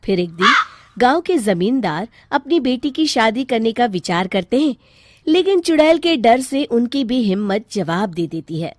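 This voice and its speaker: A woman narrating a cartoon story in an expressive storytelling manner. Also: fairly high pitch, normal speed.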